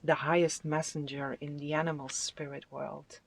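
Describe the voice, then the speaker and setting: A tarot card reader giving a spiritual reading with affirmations, in a soft, soothing and unhurried voice that sometimes drops to a whisper. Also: mid-range voice, little pitch movement, gravelly. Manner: soft, soothing, unhurried delivery with drawn-out phrases.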